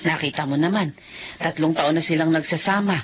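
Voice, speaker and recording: An actress playing an elderly mother and grandmother in an audio drama, chatty and quick to exclaim. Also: low-pitched, a little hiss or hum, natural voice. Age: older. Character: caring elderly mother and grandmother, fussy but warm. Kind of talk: Filipino radio-style audio drama.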